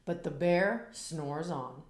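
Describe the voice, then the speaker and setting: A woman reading a children's picture book aloud in a slow, expressive, rhythmic way, after a casual spoken intro. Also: low-pitched, roomy sound.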